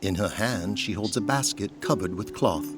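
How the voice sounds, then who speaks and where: A man's voice: on the low side, moderate pace. A man reading scripted dramatic narration for an audio drama in a descriptive storytelling manner.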